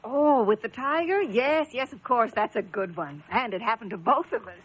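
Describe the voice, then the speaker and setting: Actress as an eager, genteel storytelling wife, high-voiced. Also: female voice, lively intonation, moderate pace, lightly acted. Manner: eager, proper, dramatic storytelling. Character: genteel wife eagerly recounting a dangerous adventure. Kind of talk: radio drama acting. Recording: muffled vintage radio broadcast.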